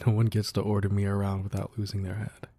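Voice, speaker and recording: A low, mellow-voiced crime boss acted by a man, husky and intimate. He is a protective, devoted husband murmuring softly to his wife in a romantic ASMR roleplay. The tone is gruff at the edges but affectionate. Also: monotone, fast talker. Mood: playful, tender.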